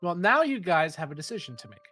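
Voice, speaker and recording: A man's voice: sits high, fast talker, soft background music. A man with a stuffy, nasal voice from a head cold, casually running a tabletop game as game master with lots of fillers. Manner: relaxed, rambling with fillers and swearing.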